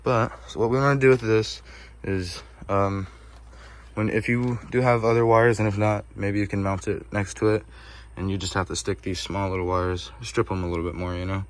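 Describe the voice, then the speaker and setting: A man's voice: lowish voice, flat delivery, moderate pace. A man presenting a casual hands-on tutorial, rambling and informal with some mild swearing, recorded on a phone camera. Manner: rambling, informal, mildly profane. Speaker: do-it-yourself video presenter. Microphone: phone camera held while working. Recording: clearly noisy ambient phone recording. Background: ambient noise.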